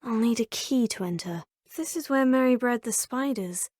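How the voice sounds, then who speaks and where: A woman's voice: fairly high pitch, moderate pace, darkish tone, no room sound. A woman with a British accent voicing a curious, polite young witch student in a video game. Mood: earnest. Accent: British English. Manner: polite, proper, crisp phrasing. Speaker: player character. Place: recording studio.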